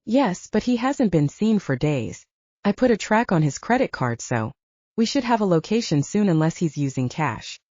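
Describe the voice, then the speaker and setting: A woman's voice: on the low side, sing-song, normal speed, warm tone. A woman voicing a man who reports and warns as a matter-of-fact investigator ally in an audiobook. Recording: roomy, dull lo-fi audio.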